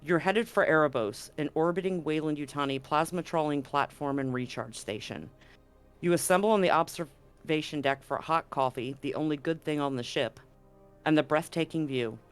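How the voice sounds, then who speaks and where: A man's voice: fairly high pitch, normal speed, clean tone, soft background music. A man acting as game master, hosting and narrating a tabletop roleplaying game stream.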